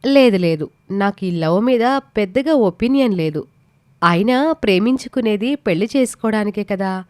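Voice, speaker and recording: A woman's voice: mid-range voice, expressive, moderate pace, darkish tone, dry recording, close to an everyday voice. A woman playing a polite, reserved young woman with a hesitant manner in a story drama. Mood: reserved, sincere.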